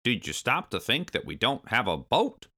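Man putting on a crotchety, forceful old monkey. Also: medium pitch, huge pitch swings, normal speed, voice pushed up, heavily acted. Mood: grumpy. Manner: moaning, crotchety. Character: cranky old male monkey, grumbling, boastful.

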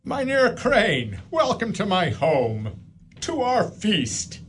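A man's voice: high-pitched, huge pitch swings, fairly bright tone, slightly rough, heavily acted. An actor playing a genial, wealthy older landowner who welcomes guests at his feast in a community radio drama. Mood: hearty. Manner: hearty, measured, welcoming. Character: genial wealthy older male Dutch landowner, host father.

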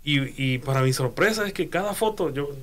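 A man's voice: a little high, expressive, brisk, a bit bright. A man from Honduras telling a story in casual conversation, often repeating words as he searches for them. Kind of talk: casual chat.

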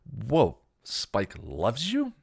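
A man's voice: lowish voice, very animated, moderate pace, no room sound, studio quality, pitched above the performer's own voice. A man voicing a snarky young man who keeps hurling accusations in a read-aloud story. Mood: accusing. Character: teenage boy, snarky and accusing.

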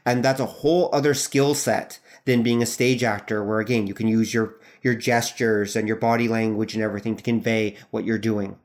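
A man's voice: lowish voice, level tone, brisk, few pauses. A man speaking as a podcast guest, explaining things casually and emphatically. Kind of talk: casual explanatory interview talk.

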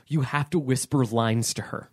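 A man's voice: medium pitch, normal speed, a little mellow. A man co-hosting a podcast, recapping a film in loose casual chat, rambling with fillers and laughs. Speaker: podcast co-host.

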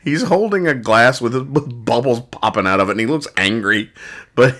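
Male podcast host, mid-pitched, casual bantering delivery, amused and exasperated with a co-host. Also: lively intonation, normal speed, fairly bright tone. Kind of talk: casual banter, describing comic strips.

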